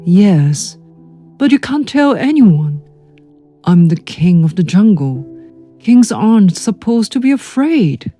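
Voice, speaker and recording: A woman's voice: mid-range voice, big pitch swings, takes it slowly, mellow, faint music behind. A woman voicing a timid but proud male lion king who mumbles hesitantly, in a children's storybook. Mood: sheepish.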